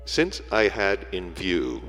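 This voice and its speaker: Deep guy narrating an old formal book, measured delivery, big echo and some music behind him. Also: huge pitch swings, fairly bright tone. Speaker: audiobook reader. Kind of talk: scripted literary narration. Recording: echoey hall reverb, music behind.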